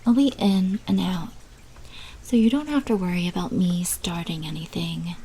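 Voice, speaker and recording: A woman playing a sly, menacing vampire mafia manager in an ASMR roleplay, speaking in a hushed voice that often drops into whispers. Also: lowish voice, steady tone, moderate pace, close to an everyday voice. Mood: guarded, defensive. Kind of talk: ASMR roleplay, scripted character monologue. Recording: clearly noisy background.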